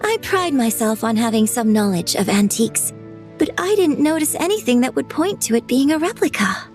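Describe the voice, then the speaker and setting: A woman's voice: fairly high pitch, animated, normal speed, a little mellow, soft background music, lightly acted. A woman voicing a gracious, polite young female writer who speaks with poise in a game cutscene. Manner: courteous, poised, formal.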